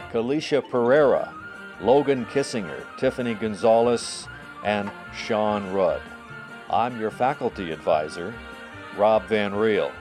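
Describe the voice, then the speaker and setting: A man's voice: fairly low pitch, a little mellow. A man hosting a radio program, reading a scripted sign-off. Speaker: host and faculty advisor. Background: music.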